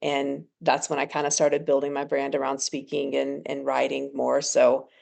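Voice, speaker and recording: A woman's voice: a bass voice, completely flat delivery, normal speed, creaky, darkish tone. A woman in her thirties from Ecuador, a counselor chatting as a podcast guest and laughing often. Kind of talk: casual podcast interview.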